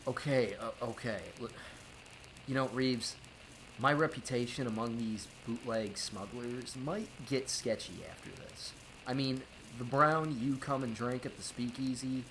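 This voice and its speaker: An actor playing an uneasy speakeasy owner turned informant in an old-time radio drama, hedging and colloquial. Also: male voice, medium pitch, background noise, lightly acted. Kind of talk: 1930s radio drama acting.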